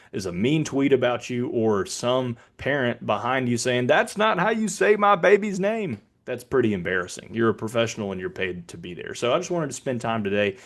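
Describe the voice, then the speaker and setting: An American man who works as a public address announcer, giving an instructive talk in a measured, deliberate way. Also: mid-range voice. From Birmingham, Alabama, United States. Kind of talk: explanatory talk with announcing demonstrations.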